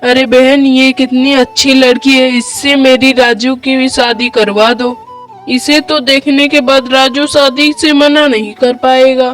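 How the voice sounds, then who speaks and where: Cartoon mom: kind old widow, worried and pleading, high voice, played by a woman; music beneath. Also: flat delivery, measured pace. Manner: pleading, motherly. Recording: music bed under the voice.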